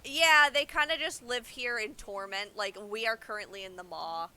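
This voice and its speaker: A woman's voice: a little high, moderate pace, bright, forceful tone. A woman streaming a game, explaining its story in loose, informal casual chat.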